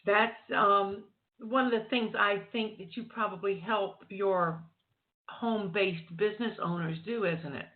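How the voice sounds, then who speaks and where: A woman's voice: medium pitch, steady tone, unhurried. Woman host, phone interview, friendly tag questions, tinny line.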